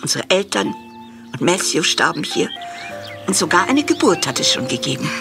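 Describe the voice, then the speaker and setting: An actress playing a prim elderly farm woman in an audio drama, dry and measured, with sentiment underneath. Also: lowish voice, big pitch swings, vocal fry, over music. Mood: wistful. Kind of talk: German audio drama acting.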